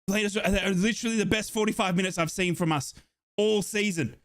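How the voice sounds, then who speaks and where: A man from Australia streaming a football watchalong, cheering and yelling excitedly, his voice hoarse from shouting. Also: sits high, fairly quick. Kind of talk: excited fan commentary.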